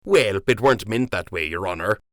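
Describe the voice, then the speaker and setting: A man's voice: fairly low pitch, lively intonation, brisk, dry recording, studio quality, close to an everyday voice. A man voicing a rambling, cheerful rabbit dock worker who talks in a folksy, ungrammatical country dialect.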